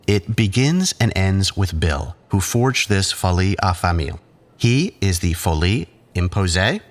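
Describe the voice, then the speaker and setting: A male actor playing a condescending, controlling psychiatrist who talks in patronizing, measured therapy-speak in an audio drama. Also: low voice. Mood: smoothly patronizing.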